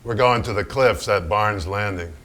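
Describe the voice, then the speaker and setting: An actor in a staged reading playing a brash, vulgar and troubled celebrated painter, with a raw, profane, halting delivery. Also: male voice, on the low side, a bit bright, close to an everyday voice. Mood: haunted, fearful. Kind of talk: theatrical staged reading, dramatic monologue.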